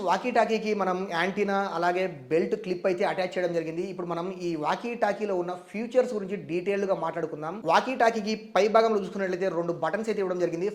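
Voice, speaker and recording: A man narrating an unboxing and review, explaining things step by step as the host. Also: high-pitched.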